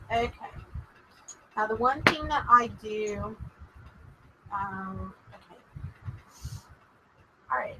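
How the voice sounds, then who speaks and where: A woman's voice: medium pitch, extremely slow, pauses often, background noise. A woman with a Texas accent hosting a casual livestream as a psychic medium, chatting and rambling to her viewers. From Texas, USA. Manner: chatty and rambling, drawling, addresses viewers as "y'all".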